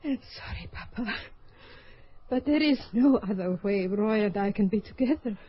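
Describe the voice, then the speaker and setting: An actress playing a young Soviet figure skater in love, in an old radio drama. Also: female voice, medium pitch, expressive, moderate pace, slightly rough. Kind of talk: 1970s radio drama acting. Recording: dull old radio recording.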